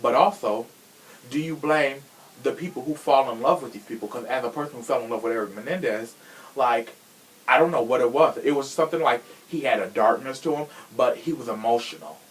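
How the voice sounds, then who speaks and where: A man's voice: medium pitch, fairly quick, rough recording. A man hosting a casual true crime podcast, talking in a sassy, frank way with profane slang. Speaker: podcast host.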